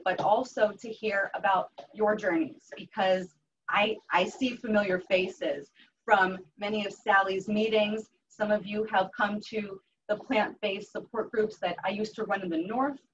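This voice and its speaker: An upbeat woman in her thirties with a Western Pennsylvania accent, teaching a cooking class and talking with ums and restarts. She sounds a little far from the mic. The recording is dull and has a faint hiss under it. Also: medium pitch. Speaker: health coach doing a cooking demo. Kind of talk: live cooking class talk.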